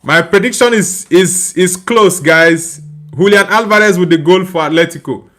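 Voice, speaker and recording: A man's voice: sits high, moderate pace, a bit bright. A young man, hosting a live football watchalong, chatting casually and laughing while he reads viewer comments. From Nigeria. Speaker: watchalong streamer. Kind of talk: casual live match reaction and chat reading.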